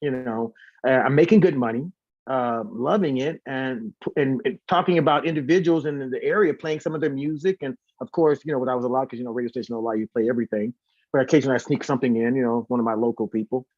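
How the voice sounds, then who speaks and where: A man's voice: medium pitch, quick pace, darkish tone, no room sound, muffled recording. A man telling stories casually as an interview guest, animatedly acting out the dialogue he quotes. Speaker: singer and former radio host. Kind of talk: casual storytelling.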